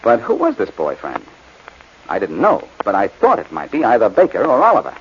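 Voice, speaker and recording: An actor playing a sharp, confident amateur detective in a 1940s radio drama, brisk and wry. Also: male voice, lowish voice, huge pitch swings. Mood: assured, explaining. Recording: dull, noisy 1940s radio recording.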